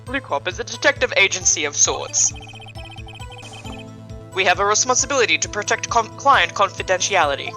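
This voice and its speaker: A woman's voice: medium pitch, lively intonation, moderate pace, long pauses, bright, forceful tone, soft background music. A woman voicing a pompous, vain businessman on the witness stand in a live game dub, with affected, wordy delivery.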